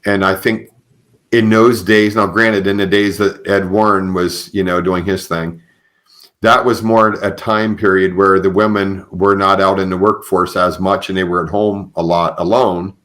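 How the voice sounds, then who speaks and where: Very deep-voiced man hosting a podcast. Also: little pitch movement, moderate pace, fairly bright tone. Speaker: podcast host. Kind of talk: conversational interviewing.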